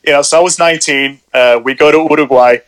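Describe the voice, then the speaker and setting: A man's voice: a little high, fairly even intonation, fast, a bit bright, no echo. A man in his forties from Puerto Rico, a podcast guest telling his life story in a loose, rambling way full of "you know" fillers. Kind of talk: casual storytelling chat.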